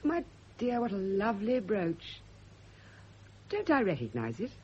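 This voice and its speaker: A woman's voice: mid-range voice, huge pitch swings, a little slow, mellow, slight background noise. An actress playing a genteel, poised single woman with a polite, probing manner in a radio drama. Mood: gushing politeness. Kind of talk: 1970s radio drama acting. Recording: dull lo-fi old radio recording.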